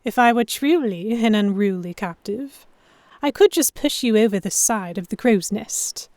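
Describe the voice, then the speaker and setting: A woman playing a stubborn, flustered young noblewoman, narrating her own story in a measured, expressive audio drama style. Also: mid-range voice, dry recording, lightly acted. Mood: indignant, teasing.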